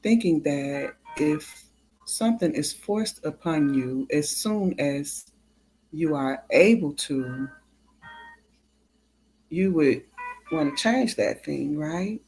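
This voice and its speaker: A livestream host talking casually and haltingly, with drawn-out pauses between phrases. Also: medium pitch, animated, darkish tone, faint music behind, low-quality recording.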